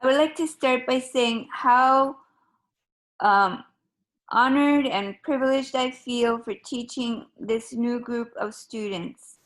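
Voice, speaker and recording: A woman teacher from Ecuador presents her classes at a slow, deliberate pace. Her voice sits a little high, and she talks formally, pausing with an occasional um. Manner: slow, measured and formal, with frequent ums. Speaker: high school Spanish teacher. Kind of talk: recorded open house presentation.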